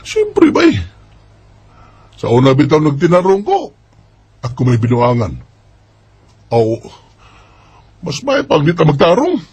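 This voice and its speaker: A man's voice: a little high, huge pitch swings, slow pace, pauses often, a little mellow, slightly rough, full character voice. A man with a Cebuano Filipino accent playing a cocky, evasive young bachelor in an amateur radio drama. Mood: boastful, teasing.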